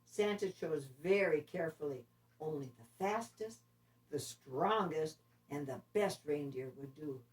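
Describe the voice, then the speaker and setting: Female storybook reader: lively melodic range, deliberate dramatic phrasing, mid pitch, placed back from the microphone. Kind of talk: expressive children's story narration. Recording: distant microphone.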